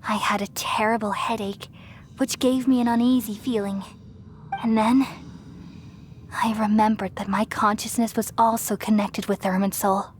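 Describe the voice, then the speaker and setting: Woman voicing a serene high-voiced ancient goddess. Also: a little hiss or hum, natural voice. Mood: grave, sorrowful. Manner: solemn, measured, poetic storytelling. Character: gentle ancient goddess of wisdom, serene and self-sacrificing. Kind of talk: scripted dramatic game voice acting. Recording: music behind the voice.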